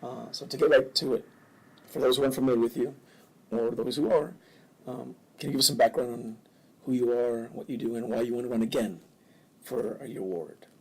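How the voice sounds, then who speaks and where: A man interviewing a local candidate for community news, chatty and encouraging with quick reactions. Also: medium pitch, steady tone, poor audio. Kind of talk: casual interview conversation.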